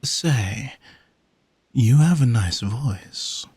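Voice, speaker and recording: A man playing an alluring sea monster posing as a vain, flirty gentleman in an audio roleplay, speaking softly and formally in a haughty, teasing way. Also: mid-range voice, lively intonation, drawn-out words, husky, no echo, full character voice. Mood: coy, charming. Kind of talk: scripted audio roleplay, spoken to the listener.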